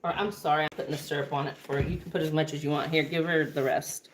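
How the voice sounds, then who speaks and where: A woman's voice: low voice, steady tone, quick pace, low-quality recording. Woman, 40s, West Texas accent, chatty and affectionate livestream co-host, casual kitchen talk. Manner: chatty, affectionate, reads out viewer names between serving food. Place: home kitchen.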